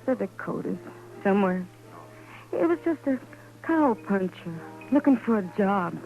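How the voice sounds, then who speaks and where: A woman's voice: mid-range voice, big pitch swings, unhurried, soft background music. A woman playing a frank, defiant frontier woman with a tender streak, plain-spoken and understated in a vintage radio western. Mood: wistful, regretful. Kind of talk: 1950s radio western acting. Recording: dull vintage 1950s radio recording.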